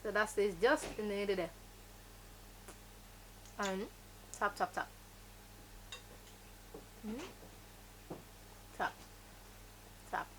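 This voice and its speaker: A woman's voice: medium pitch, drawn-out words, pauses often, slight background noise. Woman teaching makeup. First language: Yoruba. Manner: instructive, emphatic, repeats key words. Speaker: makeup tutorial host. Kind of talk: step-by-step beauty tutorial.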